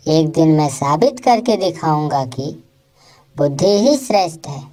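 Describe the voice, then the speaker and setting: A woman voicing a clever, fearless male monkey who reasons with self-assurance in an animated moral story. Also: low voice, a little slow. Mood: insistent, confident.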